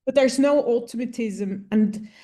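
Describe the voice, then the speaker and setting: Woman, journal editor, frank and plain-spoken, warm mellow tone, conversational. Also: fairly high pitch, a little slow. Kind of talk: conversational interview answers.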